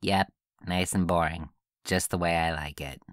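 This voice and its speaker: A woman voicing a grumpy, sarcastic teenage boy in a blunt deadpan for an amateur cartoon dub. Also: a bass voice, moderate pace, dry recording, studio quality.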